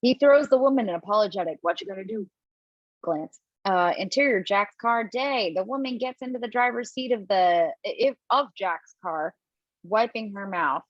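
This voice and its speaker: A woman narrating stage directions at a table read from home, lively and expressive, with small slips. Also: mid-range voice, moderate pace, dry recording. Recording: dull lo-fi, dry.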